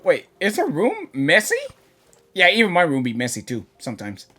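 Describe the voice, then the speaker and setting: A woman gives casual, off-the-cuff reaction commentary as a game streamer. Her pitch moves around a lot, and faint game music plays behind her. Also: huge pitch swings, normal speed, a bit bright.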